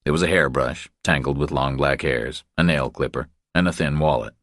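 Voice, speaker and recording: Very deep-voiced male thriller narrator. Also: normal speed, a bit bright, no echo, studio quality. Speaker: audiobook narrator. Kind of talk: scripted narration of a thriller novel.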